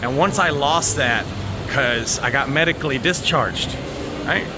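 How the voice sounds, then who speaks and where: An American man chatting casually and candidly to viewers, rambling and haltingly, with plenty of swearing. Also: mid-range voice, background noise. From United States. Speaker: vlogger.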